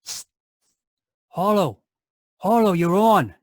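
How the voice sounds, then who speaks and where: An actor playing an earnest, coaxing sidekick in a 1940s-style radio comic commercial. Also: male voice, high-pitched, animated, slow, dry recording, clean studio sound. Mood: coaxing, concerned.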